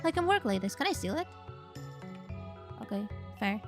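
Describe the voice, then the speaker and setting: A woman from Chile chatting casually and excitedly while streaming a game, with the odd swear. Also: mid-range voice, normal speed, soft background music. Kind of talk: casual gaming livestream chat.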